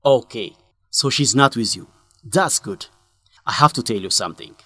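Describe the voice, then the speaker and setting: An actor playing an earnest male journalist in a radio drama, speaking expressively in simple, deliberate sentences. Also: mid-range voice, expressive, pushed and bright, dry recording. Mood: urgent, guarded. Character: earnest young male journalist breaking bad news to a friend. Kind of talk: English-learning radio drama acting.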